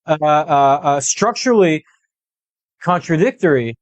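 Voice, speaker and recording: A man's voice: on the high side, expressive, a little slow, no room sound, muffled recording. A man giving conversational political analysis as a guest, laying out points methodically and checking in as he goes. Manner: methodical, punctuates points with "right?" and "okay?". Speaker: political commentator, podcast guest.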